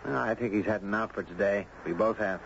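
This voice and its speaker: An actor playing an easygoing, puzzled dog owner who tells his story in a measured, conversational way in a 1970s radio drama. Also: fairly low pitch, creaky. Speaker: actor narrating and playing the lead. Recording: dull, noisy old radio broadcast.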